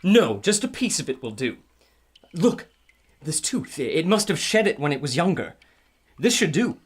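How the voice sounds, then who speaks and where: A man's voice: on the high side, very animated, moderate pace, husky. An actor playing a scholarly male archaeologist who explains things in a learned way. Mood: explaining.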